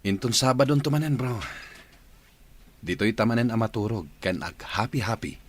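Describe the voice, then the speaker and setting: A man's voice: medium pitch, expressive, moderate pace, natural voice. A man playing a plain-spoken working husband and father in a radio drama. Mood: casual, wistful.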